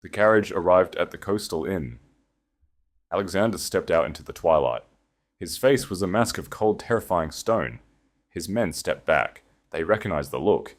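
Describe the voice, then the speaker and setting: A man reads a historical romance audio story with a dramatic, measured delivery. His voice is very deep and low. The narration is scripted and steady, built for listening.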